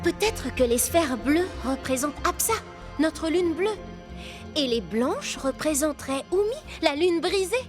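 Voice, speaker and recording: A woman's voice: high voice, expressive, unhurried, music in the background. A woman voicing a cautious, caring young girl adventurer in a children's cartoon, in an earnest, concerned manner. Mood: thoughtful. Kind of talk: animated children's cartoon acting.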